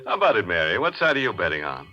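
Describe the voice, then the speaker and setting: A man's voice: low-pitched, vocal fry. A man hosting a 1940s radio mystery with teasing, theatrical, macabre patter. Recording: dull vintage radio recording.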